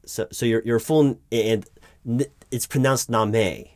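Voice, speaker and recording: A man playing a flustered, deferential recruiting manager in an interview roleplay, halting, stammering and awkwardly polite as he talks. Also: medium pitch, a little mellow, dry recording, natural voice. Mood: puzzled, hesitant. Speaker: roleplay performer. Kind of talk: spoken audio roleplay, one-sided job interview.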